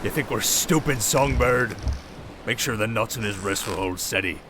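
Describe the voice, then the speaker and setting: A man's voice: on the low side, normal speed, noisy background, natural voice. A man playing a menacing, mocking pirate captain who taunts with a swagger in a fantasy audio roleplay.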